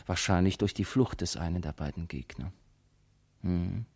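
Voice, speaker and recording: An actor playing a muddled, forgetful man in a radio drama, halting and pondering to himself as he gropes for each memory. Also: sits low, creaky, a little mellow, breathy edge, heavily acted. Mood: groping, musing.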